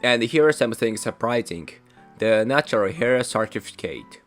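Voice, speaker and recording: A young man from Japan, a native Japanese speaker with a Japanese accent, hosting his channel in measured, careful scripted narration. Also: medium pitch, animated. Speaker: channel host. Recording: soft music behind voice.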